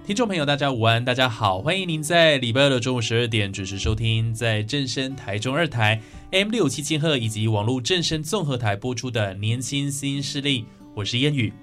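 A man's voice: mid-range voice, a little fast, few pauses, fairly bright tone. Man, Taiwanese Mandarin accent, radio host, mid-range voice, interview style, faint music.

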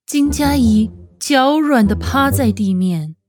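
A woman narrating an audio novel in a dramatic, expressive storytelling style. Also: high-pitched, slow. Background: music.

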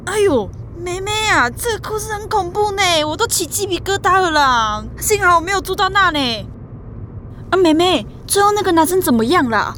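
An extremely high female voice, exclamatory and fussy. A woman acts out a gossipy, easily spooked lady in a radio drama, with faint noise behind her. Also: animated, normal speed, a bit bright. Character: chatty, easily spooked woman gushing to a younger girl. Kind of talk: audio drama acting.

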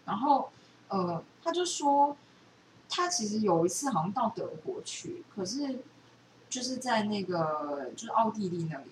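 A woman's voice: mid-range voice, very animated, unhurried. A woman with a Taiwanese Mandarin accent chatting casually, retelling things in a rambling, loosely strung way. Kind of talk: casual chat, retelling a book. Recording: echoey room, distant mic, background noise.

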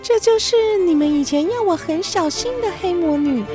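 A woman's voice: very high-pitched, lively intonation, normal speed, over music, voice pushed up. A woman voicing a sweet, trusting teenage princess with an innocent, girlish manner in a storybook audio drama. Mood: worried. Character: sweet, trusting fifteen-year-old princess.

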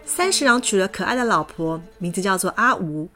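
A woman narrating a children's fairy tale in a lively, expressive way. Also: mid-range voice, fairly quick. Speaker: storyteller. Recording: background music.

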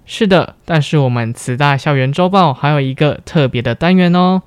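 A man's voice: a little high, moderate pace, smooth, no echo. A young man with a Taiwanese Mandarin accent co-hosts student radio with scripted banter. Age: young adult.

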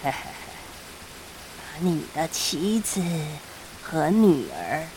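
A sly, greedy old shopkeeper played by a woman from a dark fantasy audio drama. The words come out very slowly, in a teasing drawl with menace underneath. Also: deep voice, big pitch swings, a little hiss or hum, full character voice. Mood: sly, menacing. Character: sly, greedy elderly shopkeeper of sinister magic apples.

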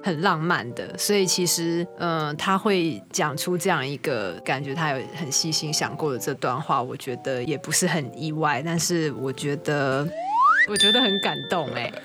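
A woman's voice: low voice, normal speed, loud music behind the voice. A woman chatting casually as an interview guest. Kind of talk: casual chat.

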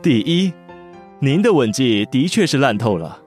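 一名男演员在广播剧里饰演忠心又暗恋少爷的年轻随从，爱用恭敬口吻吐槽，容易慌乱。. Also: male voice, medium pitch, sing-song, unhurried, a little mellow, soft background music. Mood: exasperated. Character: teasing young male attendant, devoted, secretly lovesick, exasperated. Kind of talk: Chinese audio drama acting.

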